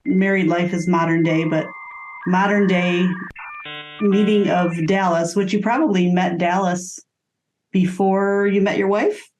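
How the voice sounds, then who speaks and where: A woman's voice: on the low side, fairly even intonation, unhurried, over music, low-quality recording. A woman co-hosting an informal interview over a video call, chatting casually. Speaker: interview co-host.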